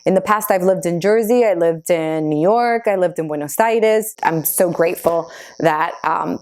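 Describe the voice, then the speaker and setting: A woman in her thirties from Argentina whose first language is Spanish, chatting casually as she answers her own get-to-know-me questions, with many fillers. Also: fairly low pitch. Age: 30s. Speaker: YouTuber.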